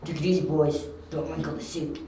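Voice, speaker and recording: A man's voice: fairly high pitch, little pitch movement, brisk, vocal fry, dark tone. A man playing a cocky young detective posing as a criminal, flippant and casual, in an amateur audio drama. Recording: very echoey, distant mic, faint noise.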